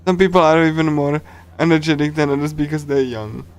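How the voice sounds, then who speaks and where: A man streaming a game, chatting casually and reading along in a rambling, halting way with repeated phrases. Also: fairly high pitch, clear, resonant tone. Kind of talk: casual livestream chat while reading and translating a game.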